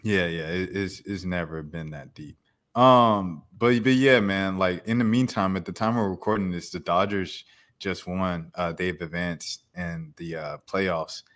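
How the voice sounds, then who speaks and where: A young man with a fairly low voice, chatting on a podcast. He rambles in a loose, slangy way, circling back with restarts and lots of filler words. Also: unhurried, a bit bright. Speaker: podcast co-host. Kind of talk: casual chat.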